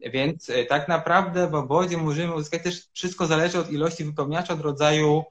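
A man in his thirties answers interview questions as a doctor over a video call. His voice sits a little high for a man. He explains step by step and fills pauses with hesitation sounds. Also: steady tone. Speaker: urologist guest. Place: home room, indoors.

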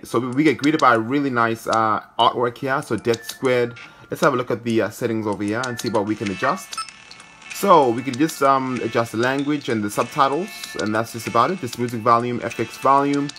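A man's voice: mid-range voice, a little fast, sharp tone, soft background music. A man hosting a gaming video, giving casual commentary as he plays. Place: indoor room, filming a handheld phone.

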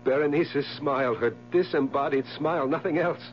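An actor playing a haunted, anxious husband in a 1970s radio drama, speaking in halting, repeated, melodramatic phrases. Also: male voice, a little high, close to an everyday voice. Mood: shaken, dread. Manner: halting, repetitive, melodramatic delivery. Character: haunted, nerve-shattered husband, anxious and obsessive. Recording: muffled, hissy 1970s radio broadcast recording.

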